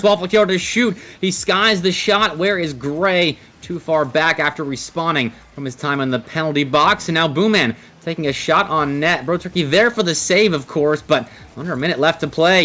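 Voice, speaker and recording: A man's voice: a little high, a bit bright. A man commentating live on a video game match as an esports caster. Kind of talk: live Rocket League play-by-play and banter.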